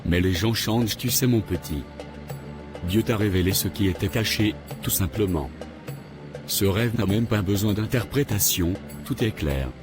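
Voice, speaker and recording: A man's voice: lowish voice, normal speed, music in the background, lightly acted. A man playing a self-assured older church brother. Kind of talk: animated cartoon voice acting.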